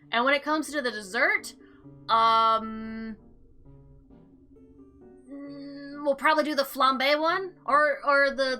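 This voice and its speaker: A woman hosting a game playthrough, mixing informal commentary with theatrical, expressive reading aloud. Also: fairly high pitch. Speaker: gaming video host.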